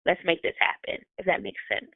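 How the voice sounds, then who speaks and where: A woman's voice: brisk, dry recording. A woman musician talks about her music in a loose, chatty way and apologizes for long answers. Her voice comes through a thin, phone-like line with no room sound. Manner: chatty, apologetic, laughs often. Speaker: guest musician. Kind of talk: casual interview answers. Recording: tinny phone or call line.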